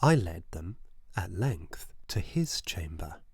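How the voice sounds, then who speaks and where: Husky, theatrical, unhinged gothic murderer. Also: male voice, deep voice, sing-song, dry recording, clean studio sound, voice pushed down, heavily acted. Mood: smug, sly. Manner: theatrical, halting, swinging from hushed whispers to frantic cries. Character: mad murderer confessing, falsely suave, feverishly nervous, gothic horror. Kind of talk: dramatic gothic horror audiobook reading.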